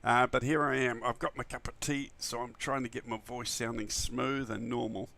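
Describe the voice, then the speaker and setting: A man with a croaky, just-woken voice, chatting casually and enthusiastically as a friendly flight simulator streamer who rambles a little. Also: medium pitch, little pitch movement, normal speed, pushed and bright. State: early-morning croaky voice, still waking up. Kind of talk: casual livestream chat.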